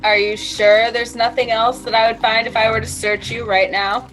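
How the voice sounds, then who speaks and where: Woman player, confident and playful, a bit high, music under it. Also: little pitch movement, normal speed, a bit bright, poor audio. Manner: bold, playful in character. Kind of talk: playing a tabletop game. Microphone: video call.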